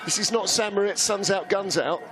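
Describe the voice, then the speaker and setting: A man's voice: high voice, normal speed, music in the background. A man with a Welsh accent doing live sports commentary, chatty and anecdotal, laughing easily. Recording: commentary over loud outdoor track noise.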